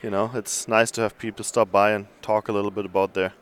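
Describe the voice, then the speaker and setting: Low-voiced relaxed male interviewer. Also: fast, clean tone. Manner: relaxed, friendly, short affirmations. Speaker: interview host. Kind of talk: casual interview.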